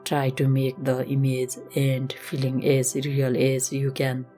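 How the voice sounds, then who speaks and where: A woman instructor leading a slow guided meditation in a gentle, measured, instructional manner. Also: a bass voice, monotone. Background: music.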